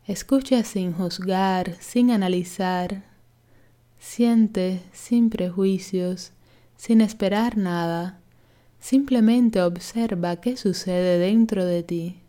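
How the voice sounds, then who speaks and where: A woman leading a guided relaxation meditation as a yoga instructor, calm and soothing, giving gentle instructions. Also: medium pitch, slow pace.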